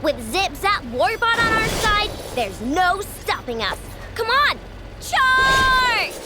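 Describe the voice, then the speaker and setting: A woman's voice: very high-pitched, very animated, takes it slowly, over loud music, full character voice. A woman voicing a plucky, determined young girl inventor in a video game, earnest and emphatic in a childlike way. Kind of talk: scripted video game dialogue.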